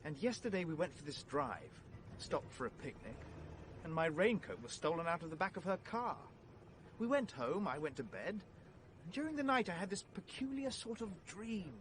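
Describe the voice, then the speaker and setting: An actor playing an anxious, well-bred British gentleman on the run in a vintage radio drama, plummy, earnest and flustered. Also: on the high side, very animated, normal speed. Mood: troubled, earnest. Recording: noisy vintage radio play recording.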